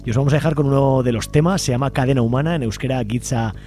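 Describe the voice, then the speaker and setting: A man's voice: mid-range voice, rapid-fire, dark tone, slight background noise. A man with an accent from Spain, hosting a radio show in an informal, colloquial way. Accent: Spanish from Spain. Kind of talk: casual radio interview and presenting.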